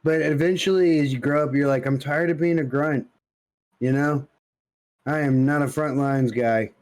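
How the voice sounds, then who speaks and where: A man's voice: fairly high pitch, steady tone, moderate pace, darkish tone. A man co-hosting a podcast in casual conversation, blunt and opinionated in the way he talks. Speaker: podcast co-host.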